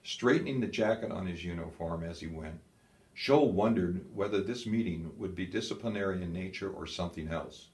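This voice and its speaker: A man reading a historical novel aloud as a narrator, in a measured, expressive storytelling manner. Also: sits low. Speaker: audiobook narrator. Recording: echoey, roomy sound.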